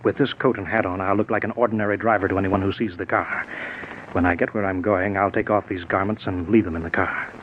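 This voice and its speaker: An actor playing a cold, commanding scientist turned killer in a 1940s radio drama, dismissive and sure of himself. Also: male voice, deep voice, fairly even intonation, fast talker, close to an everyday voice. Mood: impatient, smug. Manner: dismissive, self-assured. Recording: noisy, dull old 1940s radio recording.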